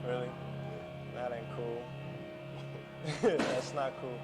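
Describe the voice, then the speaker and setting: A man's voice: on the high side, fairly even intonation, moderate pace. A man casually interviewing someone in an informal, agreeable, slangy way. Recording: loud music over the voice.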